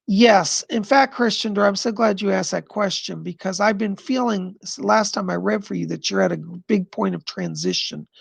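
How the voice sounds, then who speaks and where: A man hosting a live stream of psychic readings, answering viewer questions in an effusive, chatty way and pausing to listen to spirits. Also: sits high, creaky, fairly bright tone, slightly rough, dry recording. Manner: pauses to listen to spirits mid-sentence.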